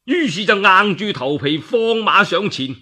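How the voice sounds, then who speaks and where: A male Cantonese radio storyteller with a high, forceful voice and a husky edge. He narrates in a colloquial, theatrical way, stressing key words for drama. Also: animated, measured pace.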